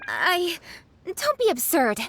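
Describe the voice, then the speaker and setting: A woman's voice: very high-pitched, huge pitch swings, slow, creaky, fairly bright tone, no room sound, heavily acted. A woman playing a serious, dutiful young noblewoman official in a video game, speaking formally and earnestly. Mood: flustered. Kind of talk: dramatic video game voice acting.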